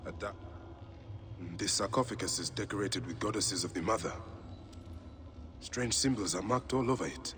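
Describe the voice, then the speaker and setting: An actor playing a grim, determined avenging warrior, brooding and stern, in a dramatic video game performance. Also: male voice, lowish voice, moderate pace, pauses often, background noise, natural voice. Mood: pondering, grave.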